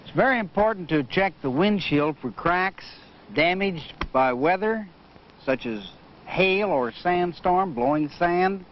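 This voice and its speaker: A man giving methodical, measured instruction in the manner of a training film, as a flight safety instructor. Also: sits high, animated. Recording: muffled noisy vintage training film soundtrack.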